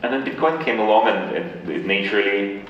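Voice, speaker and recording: A man from Ukraine answering interview questions conversationally, with a halting delivery full of repeated words. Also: lowish voice, lo-fi sound. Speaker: interview guest, tech founder. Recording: very echoey room, distant mic, faint noise.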